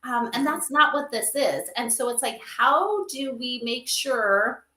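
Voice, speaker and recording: A woman explaining things casually as a guest in a livestream interview, animated and given to acting out example dialogue. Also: sits high, measured pace. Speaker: interview guest, company advisor on AI memorial companions. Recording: roomy, echoing sound.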